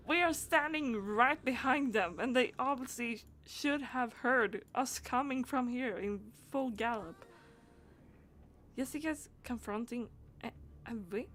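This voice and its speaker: A woman's voice: on the high side, fairly bright tone. A woman doing casual live game commentary, rambling and giggly as she reacts aloud to what happens on screen. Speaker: game streamer.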